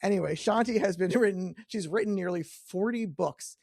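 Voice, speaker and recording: Gravelly man hosting a podcast. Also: high-pitched, moderate pace, a little mellow, dry recording, studio quality. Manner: self-deprecating, joking, stumbles and corrects himself. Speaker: podcast host. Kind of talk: chatty podcast interview with loose introduction.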